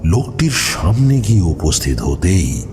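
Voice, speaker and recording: A grave old-tale mystic acted by a man recounts eerie events in a horror audio story. The voice is extremely deep and creaky, with deliberate pauses. Clear noise and ambience sit behind it. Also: very animated, full character voice. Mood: grave, suspenseful. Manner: ominous, deliberate, with dramatic pauses. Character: grave tantric mystic recounting his own eerie past. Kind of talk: slow dramatic horror audio-story narration.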